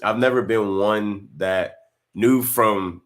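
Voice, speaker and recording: A man, chatting as an interview guest in a relaxed way with many fillers and restarts. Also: lowish voice, slow. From North Carolina. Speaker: guest actor. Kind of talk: casual interview.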